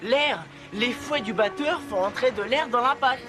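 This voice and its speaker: A woman playing a dramatic, anxious, joking young schoolboy in a French-dubbed children's cartoon, expressive in delivery. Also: on the high side, normal speed, over music, natural voice. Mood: cheerful. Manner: melodramatic, expressive.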